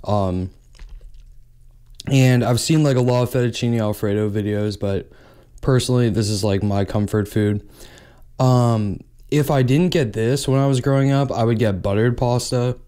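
A young man hosting a mukbang, chatting casually between bites at a table and speaking into a close mic. He talks in a relaxed way and says "like" often. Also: medium pitch, moderate pace, clear, resonant tone. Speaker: mukbang host. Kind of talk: casual eating chat, mukbang ASMR.

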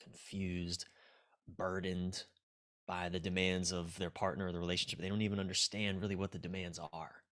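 A man's voice: deep voice, level tone, moderate pace, fairly bright tone. An American man in his forties speaking frankly and casually as an interview guest, reflective and prone to swearing. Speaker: relationship coach, podcast guest. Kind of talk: casual, candid interview talk. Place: small dim indoor room, video call.

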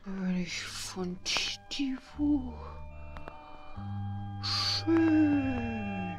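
Young bossy dinosaur creature, childlike and high, stammering, children's radio play. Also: male voice, animated, extremely slow, over music, heavily acted. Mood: sleepy.

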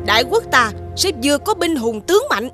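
An actress playing a proud, ambitious warrior princess in an animated fairy tale, imperious and measured. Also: female voice, high voice, music in the background. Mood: imperious, calculating.

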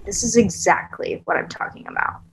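A woman's voice: mid-range voice, huge pitch swings, a little fast, gravelly, a little hiss or hum, low-quality recording. A woman in her thirties acting an earnest, defensive girlfriend in a naturalistic table read over a video call. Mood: frustrated. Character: earnest, defensive thirty-year-old girlfriend in a couple's spat. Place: small plain room, on video call.

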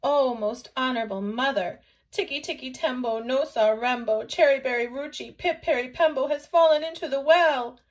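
Woman voicing a high-pitched, frantic little boy. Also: moderate pace, hardly any pauses, highs cut off, natural voice. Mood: loud, frantic. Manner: panting, urgent, shouting. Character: anxious, breathless little boy calling for help.

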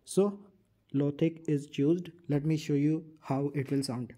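A man's voice: on the high side, fairly even intonation, a little slow, mellow. A man hosting a casual app tutorial, explaining things plainly step by step and repeating himself. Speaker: tutorial host.

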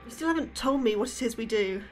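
An actress playing an anxious astrobiologist in a naturalistic audio drama, hesitant and questioning. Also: female voice, on the high side, lively intonation, quick pace, soft background music, close to an everyday voice. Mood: hesitant, wary. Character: anxious woman astrobiologist, a drifting academic. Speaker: actor.

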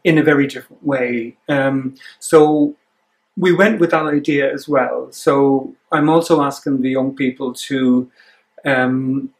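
An Irish man in his sixties, a theatre director, talking reflectively in an interview and pausing mid-sentence to find his words. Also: mid-range voice, rough recording.

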